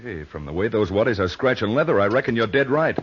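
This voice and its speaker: A man playing a steady, fair-minded cowboy hero in 1940s radio western style, with folksy turns of phrase. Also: lowish voice, fast talker, slightly rough. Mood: observant, calm. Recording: muffled old radio broadcast recording.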